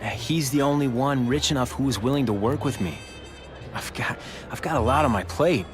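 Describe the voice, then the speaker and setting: A man's voice: medium pitch, moderate pace, over music, natural voice. An actor playing a young male tech heir, friendly but busy and a bit distracted.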